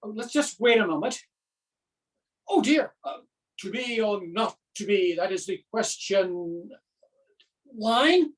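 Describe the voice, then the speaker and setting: A woman's voice: mid-range voice, lively intonation, slow, pauses often. Woman acting a flustered, panicking stage amateur, distant sound. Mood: nervous, pleading. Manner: rambling, nervous, stammering asides. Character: flustered panicking accountant stranded onstage as a Shakespearean actor. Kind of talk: theatrical stage monologue.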